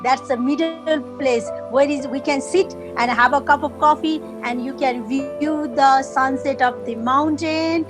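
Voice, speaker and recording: A woman from Nepal with a Nepali accent, a guest in a casual interview, speaking haltingly with many fillers as she searches for words. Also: sits high, steady tone, over music.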